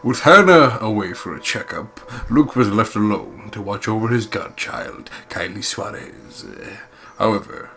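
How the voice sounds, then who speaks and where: Young man, lowish voice, playful sweary game narration, some background noise. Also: expressive, a little slow, fairly bright tone. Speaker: let's play host. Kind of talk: reading visual novel aloud with joking commentary.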